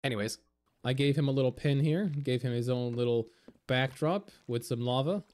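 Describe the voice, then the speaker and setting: Hoarse, raspy man with a mellow voice. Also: medium pitch, normal speed. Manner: relaxed, rambling, many fillers. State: hoarse from being sick. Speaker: game video creator. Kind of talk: casual gameplay commentary.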